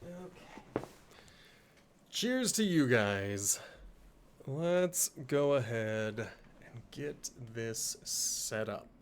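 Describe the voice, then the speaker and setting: A man's voice: medium pitch, animated, very slow, pauses often, slight background noise. A slightly sniffly man hosting a livestream, chatting casually and rambling in a self-deprecating way. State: slightly sniffly, congested from allergies. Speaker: live streamer and host of a game playthrough.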